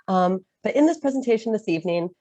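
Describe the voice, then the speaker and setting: A woman's voice: medium pitch, expressive, quick pace, warm tone, no room sound. A woman in her thirties from Chicago with an American accent, presenting an informational webinar while recovering from a cold, with frequent um fillers. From Chicago, USA. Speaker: study-abroad advisor, webinar presenter.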